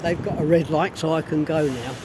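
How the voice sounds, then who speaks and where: A man casually vlogging as he walks outdoors along a city street, rambling with pauses and restarts, his voice a little hoarse. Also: a little high, steady tone. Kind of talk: casual walking vlog. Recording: street traffic noise behind. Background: traffic noise.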